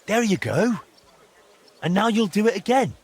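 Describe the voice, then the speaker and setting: An actor playing a determined young blacksmith hero in a video game, coaxing and firm, with a husky voice. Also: male voice, high-pitched, lively intonation, normal speed, full character voice. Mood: encouraging. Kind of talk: video game drama acting.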